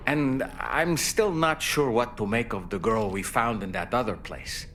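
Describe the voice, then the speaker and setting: An actor playing an attentive, concerned companion in a sci-fi video game, polite and measured. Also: male voice, medium pitch, fairly bright tone, slight background noise, natural voice. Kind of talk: video game cutscene acting.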